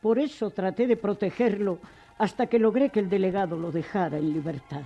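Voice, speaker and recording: An actress playing a kind, devout, motherly schoolteacher in a radio drama, speaking with measured, formal compassion. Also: mid-range voice, muffled recording, soft background music, close to an everyday voice. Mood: compassionate, solemn. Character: kind, devout, motherly schoolteacher giving gentle moral counsel.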